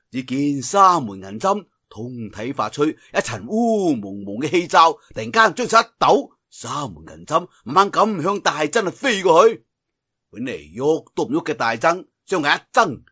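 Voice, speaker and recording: A man's voice: a little high, fairly bright tone, husky. A man with a Cantonese accent telling a martial arts story theatrically, drawing out phrases for emphasis. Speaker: audiobook storyteller.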